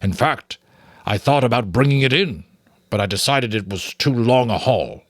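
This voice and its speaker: A man's voice: medium pitch, lively intonation, normal speed, a bit bright, no room sound, studio quality. A man voicing a practical, cautious working rat who speaks matter-of-factly in a storybook reading. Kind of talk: dramatic audiobook reading of a children's novel.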